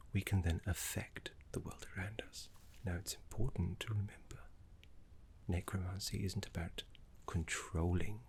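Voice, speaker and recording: Casting: male actor as courteous scholarly necromancer mentor, very low-pitched, breathy half-whisper, reverent and soothing, game-inspired ASMR roleplay. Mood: earnest, reverent. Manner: unhurried, refined, reassuring, deliberate pauses.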